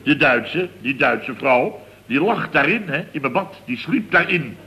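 A flustered, indignant boss, bright voice. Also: male voice, mid-range voice, expressive, unhurried. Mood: exasperated storytelling. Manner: long-winded, exasperated storytelling peppered with verbal tags. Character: flustered, indignant middle-aged boss of a building firm. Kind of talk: comic radio serial acting. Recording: old radio recording with faint noise.

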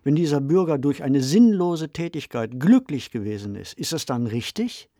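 Measured man in a dry studio. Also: fairly high pitch, big pitch swings, dark tone, no room sound. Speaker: podcast co-host. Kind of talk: reflective discussion of a radio play.

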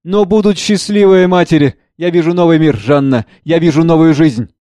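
A man's voice: on the high side, moderate pace, mellow, no room sound, voice pushed up, natural voice. Man playing fervent, declaiming father, raised voice. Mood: fervent, grieving. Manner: declamatory, emphatic repetition. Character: impassioned father, consoling visionary, solemn and fervent.

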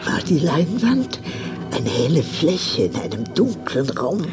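Nostalgic female cinema-goer, radio drama narration, measured and deliberate, clear music behind. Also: sits low, sing-song, full character voice. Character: nostalgic woman film lover recalling nights at the cinema.

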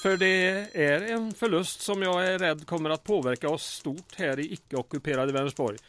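An actor playing a wry, unflappable small-town mayor in an audio drama, speaking in a dry, ironic, wordy way. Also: male voice, on the high side, a bit bright, close to an everyday voice. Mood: wry, calm.

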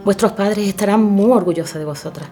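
Una mujer con acento andaluz interpretando a una madre angustiada y suplicante en una ficción sonora. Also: female voice, mid-range voice, fairly quick, dark tone, faint music behind, close to an everyday voice. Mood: subdued, grateful. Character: distressed pleading mother confined at home by husband. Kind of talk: audio drama acting.

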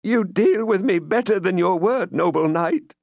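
A man's voice: darkish tone, no echo. A man doing a cheeky young jester. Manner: quick, pert, raised pitch.

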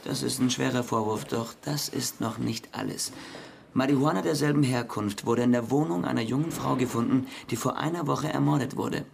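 Creaky-voiced, brusque police officer. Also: male voice, medium pitch, normal speed, slight background noise. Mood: formal, accusing. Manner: formal, brusque, authoritative. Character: brusque, formal male police officer laying out charges. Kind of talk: radio crime drama acting.

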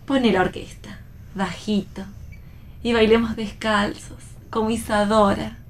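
A woman's voice: a little high, fairly even intonation, a little slow, close to an everyday voice. A woman with an Argentine accent playing a playful, teasing young wife in a read-aloud stage play. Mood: playful banter. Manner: teasing, bantering.

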